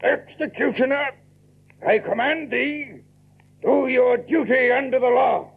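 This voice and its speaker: An actor playing a stern, self-righteous Puritan colonel in a radio drama, speaking in pompous, formal commands and proclamations. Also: male voice, very high voice, unhurried, creaky, husky, heavily acted. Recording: thin, phone-like old radio recording.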